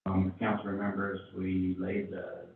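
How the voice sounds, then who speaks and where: A man briefing a city council in a halting way, with many fillers and restarts. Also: low voice, monotone, creaky, a little hiss or hum. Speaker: city chief presenting to council. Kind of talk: council meeting report. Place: council chamber. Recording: tinny, echoey chamber from far off.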